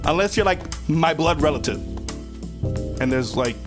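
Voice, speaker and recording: A man's voice: a little high, lively intonation, moderate pace. A man delivering an exasperated, emphatic comedic rant as a segment host. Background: music.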